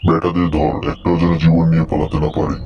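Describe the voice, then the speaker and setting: A man's voice: very deep voice, little pitch movement, fast talker, muffled recording, a little hiss or hum. A man voicing a menacing skeleton ghoul chief barking orders in a horror cartoon. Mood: commanding, menacing.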